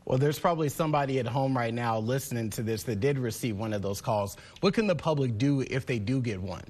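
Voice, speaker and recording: A man's voice: medium pitch, a little fast, darkish tone. A man working as a morning news anchor, presenting and interviewing in broadcast news style.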